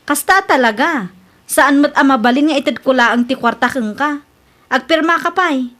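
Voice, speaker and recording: A woman's voice: high voice, lively intonation, normal speed, fairly bright tone. A woman playing a firm, cautious moneylender in an amateur radio drama, businesslike and insistent.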